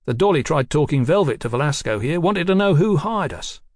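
Storybook rogue detective, cheeky and slangy, higher-pitched male reader. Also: animated, brisk, darkish tone, no echo, clean studio sound. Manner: slangy, insolent, needling. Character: sly, cheeky Cockney private detective, a vicious rascal.

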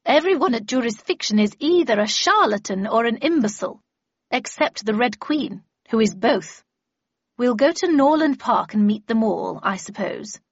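A woman's voice: on the high side, animated, normal speed, no room sound, muffled recording. A woman voicing an imperious, irritable older woman mentor with a brusque, scornful, commanding manner in an audiobook. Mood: disdainful.

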